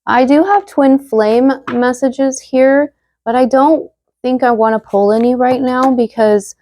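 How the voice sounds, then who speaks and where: A young woman giving a casual tarot reading to viewers, chatty and full of fillers, slightly hoarse as she loses her voice. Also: high-pitched, fairly even intonation, unhurried, darkish tone. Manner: chatty, many fillers, reads card messages aloud. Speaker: tarot reader.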